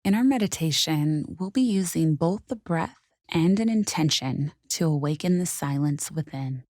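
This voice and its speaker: A woman guiding a meditation in a soothing, unhurried, softly spoken way with gentle pauses. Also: low voice, lively intonation, dry recording, clean studio sound. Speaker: meditation guide. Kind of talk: guided meditation narration.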